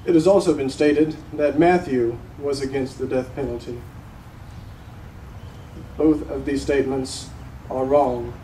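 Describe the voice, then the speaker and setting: A man's voice: medium pitch, fairly even intonation, dark tone, lightly acted. Young man acting a grieving father, distant, echoey. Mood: firm resolve. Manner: slow, solemn, halting with heavy pauses. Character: grieving middle-aged father addressing a court, solemn, resolute. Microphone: distant camera microphone. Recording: roomy, noisy, recorded from far off.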